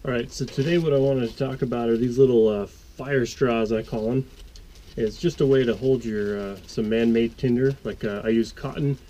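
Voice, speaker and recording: A man's voice: medium pitch, steady tone, normal speed, warm tone, highs cut off. An American man in his thirties talking casually and easygoingly to camera, explaining what he is doing as he works, with some hesitation. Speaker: outdoor vlogger, presenter. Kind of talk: casual explanatory talk to camera while working. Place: outdoors in a small desert rock cave. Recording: outdoor camera audio with faint background noise. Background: faint outdoor ambience.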